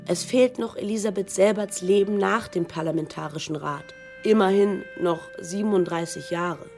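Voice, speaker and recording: A woman's voice: mid-range voice, normal speed, a little mellow, soft background music, natural voice. An actress as a wry modern woman. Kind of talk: documentary radio play dialogue.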